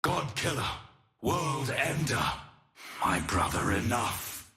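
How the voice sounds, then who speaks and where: Slow, forceful immortal fallen warrior. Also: male voice, mid-range voice, very animated, gravelly, echoey room, a little hiss or hum, exaggerated voice. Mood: stern warning. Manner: solemn, declamatory, deliberate. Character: solemn, noble, immortal male fallen warrior seeking redemption. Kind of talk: theatrical fantasy game voice acting.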